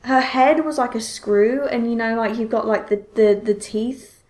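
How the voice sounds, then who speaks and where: A woman's voice: fairly high pitch, moderate pace, darkish tone. A woman hosting a podcast in casual chat, telling things expressively with frequent self-interruptions and exclamations. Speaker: podcast host.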